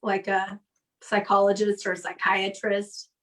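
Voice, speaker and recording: A woman hosting a show on a live call, chatting casually and telling anecdotes in an animated way. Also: medium pitch, measured pace, distant mic. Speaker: comedy show host. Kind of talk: casual chat. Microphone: live video call.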